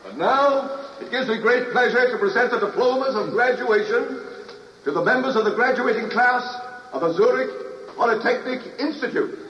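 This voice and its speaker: A man's voice: extremely high pitch, expressive, normal speed. An actor playing a formal male ceremony announcer in an old radio drama, stately and declamatory, with hall reverb. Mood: ceremonious. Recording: distant, echoing hall on noisy, muffled radio recording.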